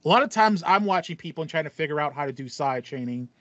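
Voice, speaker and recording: Young guy podcasting, kinda high voice, acts out his stories, dry slightly muffled sound. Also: lively intonation, fast, fairly bright tone, no echo. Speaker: podcast host. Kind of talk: casual chat. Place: small home room with foam panel, indoors.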